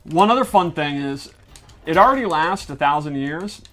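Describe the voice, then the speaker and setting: A man with a slightly high voice walks through fighting-game mechanics in a casual style. He is enthusiastic and technical, explaining things as he goes. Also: lively intonation, normal speed, fairly bright tone. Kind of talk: casual gameplay breakdown.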